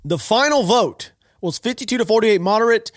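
A man's voice: high voice, expressive, fairly quick, no room sound, clean studio sound. A man hosting a podcast, sounding nasal and stuffed up from allergies, talking through the news in a rambling, opinionated way with many restarts. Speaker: podcast host. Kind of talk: casual political commentary.

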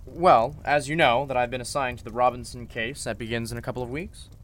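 A man playing a polite, level-headed lawyer in an old-time radio drama, speaking in a measured, slightly formal way. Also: medium pitch, steady tone, fairly bright tone, close to an everyday voice. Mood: thoughtful, concerned.

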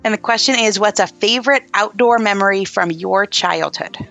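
A woman hosting a casual podcast interview, talkative and effusive, quick to affirm her guest. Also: medium pitch, gravelly, fairly bright tone, faint music behind. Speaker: podcast host.

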